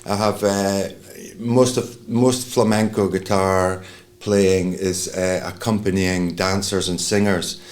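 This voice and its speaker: A middle-aged Scottish man with a very deep voice talks about his life as a musician. He rambles, restarting his sentences and using plenty of fillers. His accent comes through clearly. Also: flat delivery, takes it slowly, darkish tone. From Scotland. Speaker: flamenco guitarist.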